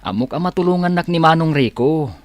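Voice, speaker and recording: A man's voice: fairly high pitch, lively intonation, fairly quick, no echo, lightly acted. A man acting a shy, hopeful young younger brother in an Ilocano radio drama.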